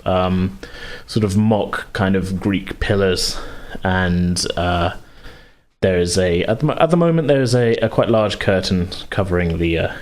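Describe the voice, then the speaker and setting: A man acting as game master, casually narrating a tabletop game in a halting way as he searches for words. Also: fairly low pitch.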